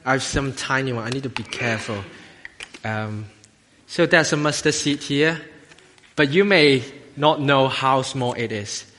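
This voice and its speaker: Halting Hong Kong man preaching, bright voice. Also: mid-range voice, slight background noise. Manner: halting, jokey, self-deprecating. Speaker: assistant lay minister preaching. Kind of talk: informal children's sermon to a congregation. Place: church, speaking to a congregation. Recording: long hall reverb.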